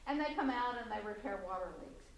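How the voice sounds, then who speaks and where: A woman with a loud voice giving public comment at a city council meeting, halting and full of fillers and restarts. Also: fairly high pitch, lively intonation. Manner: halting, many fillers and restarts, light laughs. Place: community center meeting room. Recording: echoey, distant, faint room noise.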